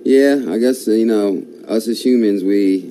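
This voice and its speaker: Dark-voiced man hosting with eager wows. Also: fairly low pitch, moderate pace, slight background noise, low-quality recording. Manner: enthusiastic, frequent exclamations of wow and amazement. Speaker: host. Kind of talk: conversational interview.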